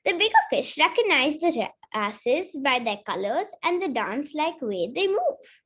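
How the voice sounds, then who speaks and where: A woman's voice: high-pitched, big pitch swings, unhurried, a bit bright. A woman reading a children's picture book aloud, carefully, with the odd stumble over a word. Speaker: story reader.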